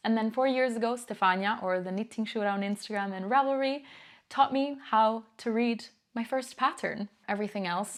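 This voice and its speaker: Brief: young woman from Italy, casual vlog host, candid and chatty, laughs easily. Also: a little high. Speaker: knitting YouTuber.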